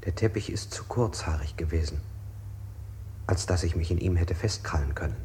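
An actor playing a weary, evasive prisoner under interrogation in an avant-garde radio play, speaking in a halting, questioning way. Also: male voice, deep voice, noisy background, close to an everyday voice. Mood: rambling, searching.

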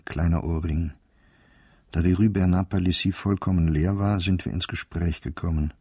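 Male narrator, husky and low, dry close studio sound, calm reflective diary reading. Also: dry recording. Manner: measured, reflective, understated. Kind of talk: calm literary reading for radio drama.

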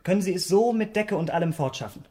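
A young gentleman played by a man in a radio drama. His voice sits a little high, and he speaks with controlled courtesy and an air of command. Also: fast talker, close to an everyday voice. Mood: courteous. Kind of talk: German radio drama acting.

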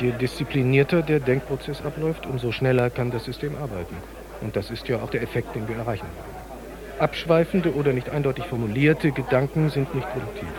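Schauspieler als sachlich dozierender Computerwissenschaftler, Geräuschkulisse im Hintergrund. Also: male voice, mid-range voice, natural voice, rough recording. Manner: didactic, precise, technical. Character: male computer scientist explaining his system, earnest and clinical. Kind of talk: radio drama dialogue.

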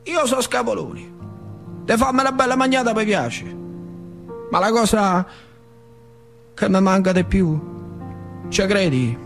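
An old factory worker played by a male stage actor tells his memories in a theatrical monologue over music. His voice sits high for a man, with an earthy central Italian dialect from northern Lazio. He repeats himself and nudges the listener with little questions, like a nostalgic working-class storyteller. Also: lively intonation, measured pace, gravelly, pauses often, a bit bright, exaggerated voice. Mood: fond, earthy.

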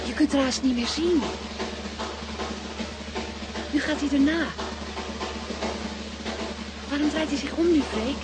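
An actress in a radio drama playing a devoted, affectionate fiancée who is reassuring and full of wonder and concern. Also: female voice, high-pitched. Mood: uneasy, concerned. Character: tender, devoted, reassuring fiancée of an anxious man.

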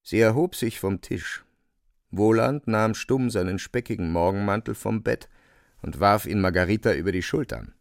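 Guy narrating a radio drama, low creaky voice, deliberate literary storytelling, close dry sound. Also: no room sound.

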